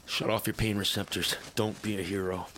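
Actor as gritty, low-voiced weary veteran soldier. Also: male voice, flat delivery, fairly quick, fairly bright tone, slight background noise, close to an everyday voice. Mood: tense, gruff. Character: weary, determined military veteran sergeant with failing cybernetic implants. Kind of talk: cinematic audio drama acting with noir narration.

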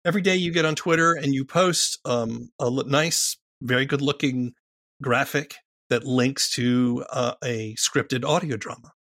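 An agreeable man hosts a casual interview at a middle pitch. His questions are conversational, and the sound is close and dry, free of room echo. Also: measured pace, fairly bright tone. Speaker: interviewer, podcast host.

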